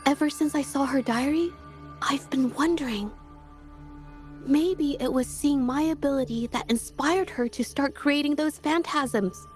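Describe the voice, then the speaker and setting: A gentle young female painter from a video game, her voice high and soft. She speaks haltingly and earnestly, with a quiet resolve underneath, over clearly audible music. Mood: worried, self-blaming. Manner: hesitant, soft-spoken, halting. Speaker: game character.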